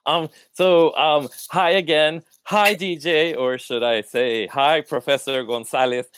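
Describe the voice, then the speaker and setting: A man's voice: fairly high pitch, steady tone, a little slow, a bit bright, smooth, no echo. A man from Puerto Rico, a professor and podcast guest, talking in long reflective sentences with small self-corrections. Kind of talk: casual academic talk.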